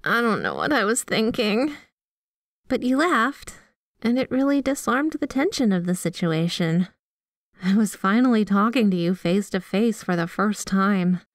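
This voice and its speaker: A woman's voice: mid-range voice, sing-song, measured pace, dry recording, clean studio sound. A woman playing a sweet, obsessively devoted yandere girlfriend in a scripted ASMR roleplay, gushing and bashful as she speaks to the listener. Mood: embarrassed. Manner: gushing, giggly, teasing, bashful asides.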